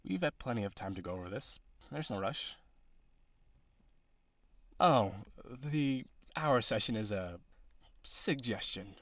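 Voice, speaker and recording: A man's voice: fairly low pitch, animated, takes it slowly, pauses often, no echo. A man playing an awkward, businesslike villain on a blind date in an audio roleplay, talking to the listener in a hesitant, dry, earnestly formal way.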